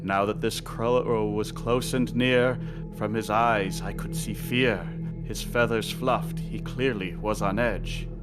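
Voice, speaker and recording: A man reciting a rhyming horror poem in a measured, rhythmic storytelling manner. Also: on the low side, fairly even intonation. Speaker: poet reading own work. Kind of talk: dramatic spoken-word horror poem recitation. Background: music track.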